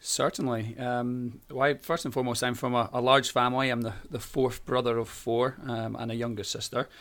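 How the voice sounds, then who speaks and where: A man's voice: medium pitch, little pitch movement, brisk, fairly bright tone. A man in his thirties with a Scottish accent, a teacher being interviewed and chatting in a friendly, talkative way with plenty of fillers and restarts. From Scotland. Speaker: primary school teacher. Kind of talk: conversational interview.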